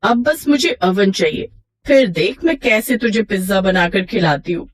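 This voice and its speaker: Woman playing a lively, practical elder sister. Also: mid-range voice, normal speed, lightly acted. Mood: confident. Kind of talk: acted moral story for children.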